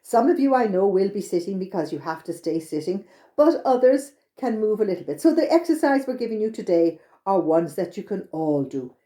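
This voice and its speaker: A woman's voice: medium pitch, big pitch swings, brisk, mellow. A woman with an Irish accent leading a children's storytime, speaking simply and encouragingly straight to her young listeners. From Ireland. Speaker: library storytime host leading songs and exercises.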